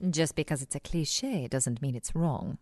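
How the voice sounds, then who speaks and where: A low-voiced, sly lady spy. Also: normal speed, darkish tone, no echo. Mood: suspicious. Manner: arch, teasing. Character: sly, self-assured female British spy. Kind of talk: radio comedy-mystery acting.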